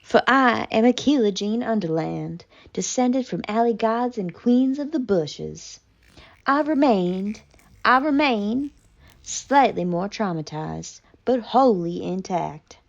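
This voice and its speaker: A woman voicing a haughty, melodramatic, traumatized female cat in a pompous, mock-tragic theatrical monologue with deliberate pauses. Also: a little high, animated, vocal fry, highs cut off, exaggerated voice. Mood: haughty, resolute.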